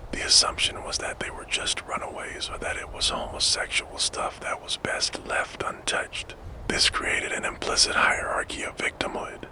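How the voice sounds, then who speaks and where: Whispering man narrating softly. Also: very high voice, low-quality recording. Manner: soft, slow, deliberate whispered delivery. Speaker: true crime narrator.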